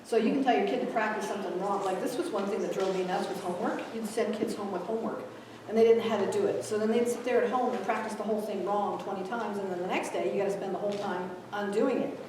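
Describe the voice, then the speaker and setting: A woman giving a live conference talk, animated and acting out quoted dialogue, who grew up in Ethiopia. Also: medium pitch, quick pace, hardly any pauses, noisy background. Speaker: conference speaker. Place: large echoey hall. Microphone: distant room mic. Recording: echoey hall from far off.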